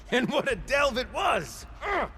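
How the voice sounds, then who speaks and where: Animated, high-voiced, theatrical adventurer. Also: male voice, a little hiss or hum, heavily acted. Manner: effusive, grandiose, rambling storyteller. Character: exuberant, theatrical male adventurer who delves ruins for relics. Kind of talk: video game cutscene acting.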